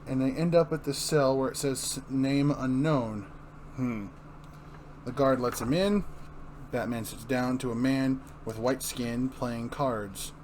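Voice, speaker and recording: A man with a medium-pitched voice hosts and reads. His narration is informal, like casual chat. The amateur recording carries faint noise. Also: a little slow, darkish tone. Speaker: host and reader.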